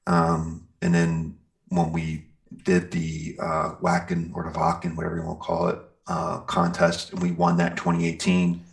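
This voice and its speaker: A man's voice: low voice, monotone, moderate pace, gravelly. An American man telling stories as an interview guest in a casual, rambling way peppered with "you know" and "like". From Pennsylvania, United States. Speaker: interview guest, metal band singer and guitarist.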